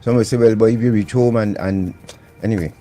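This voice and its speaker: A man's voice: fairly low pitch, steady tone, fairly quick, warm tone, soft background music. A man hosting a live radio talk show, chatty and prone to repeating words. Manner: conversational, repeats words while searching.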